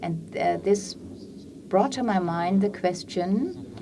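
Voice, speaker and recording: A woman's voice: mid-range voice, takes it slowly, noisy background. A woman at a panel discussion's Q&A, speaking hesitantly with many fillers. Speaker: audience member at a panel discussion. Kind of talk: conference Q&A comment. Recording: distant mic, faint hall ambience.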